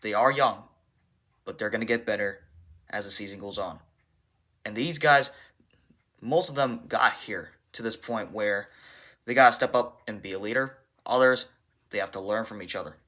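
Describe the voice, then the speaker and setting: Bright-voiced man answering as a football coach. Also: lowish voice, moderate pace, pauses often. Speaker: football coach on a press conference call. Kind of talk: press conference answers.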